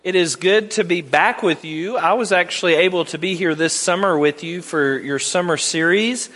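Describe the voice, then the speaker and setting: A higher-pitched man with a Southern accent preaches a Sunday sermon. His delivery is folksy and conversational, climbing into emphatic stretches. The voice rings out with a long church-hall reverb. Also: normal speed, a bit bright. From Tennessee. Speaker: guest preacher, Bible department head. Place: church sanctuary.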